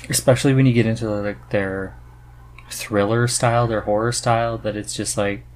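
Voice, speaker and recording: A man hosting a podcast in casual chat, halting as he searches for words. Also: lowish voice, steady tone, a little hiss or hum. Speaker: podcast host.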